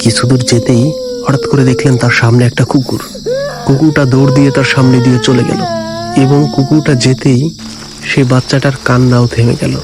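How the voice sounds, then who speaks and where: A man's voice: mid-range voice, level tone, fairly quick, over music. A man narrating a scripted ghost story as a storyteller.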